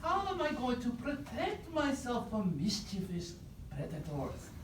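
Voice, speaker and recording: A woman's voice: fairly high pitch, wildly swooping pitch, a little slow. Woman doing a wildly swooping, grumbling puppet animal. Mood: weary, worried. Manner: dramatic, complaining. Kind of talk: live puppet show acting. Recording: distant echoey room, faint noise.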